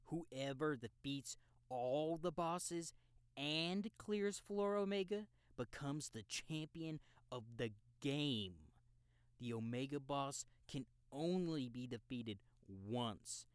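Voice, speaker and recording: A man voices a young male gamer guide in an audiobook drama. He is cocky and casual, with slangy, teasing talk. His delivery is slow and clean, recorded dry and close. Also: a little high, smooth, no echo. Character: cocky, laid-back young male gamer guiding a newcomer. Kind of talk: audiobook character dialogue in a game-world story.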